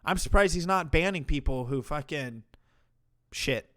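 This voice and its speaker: A man's voice: on the high side, expressive, a little slow, bright, forceful tone. A man hosting a livestream, reacting to a clip with mocking, profane commentary. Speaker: commentary streamer host.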